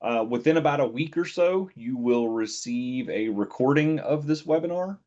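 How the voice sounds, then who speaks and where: A man's voice: medium pitch, expressive, moderate pace, darkish tone. A man moderating a webinar, relaying questions in a friendly, lightly joking way. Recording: dull lo-fi audio.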